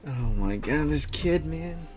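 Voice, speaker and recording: A man's voice: fairly high pitch, animated, unhurried, over music. A man hosting a gaming video, giving casual, laid-back, muttered asides as he plays. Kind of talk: casual let's-play commentary.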